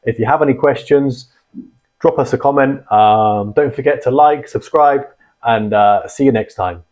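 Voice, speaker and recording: A man hosting a casual explainer on radio gear, rambling and filling pauses with ums and restarts. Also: medium pitch, darkish tone. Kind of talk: casual explanatory vlog talk. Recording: dull lo-fi sound.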